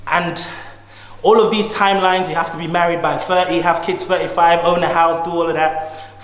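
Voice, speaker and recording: A man's voice: high voice, fairly bright tone. A man in his thirties, a guest speaking in a casual conversation with an emphatic, slangy, motivational way of talking. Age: 30s. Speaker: guest, entrepreneur. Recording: echoey room with long reverb.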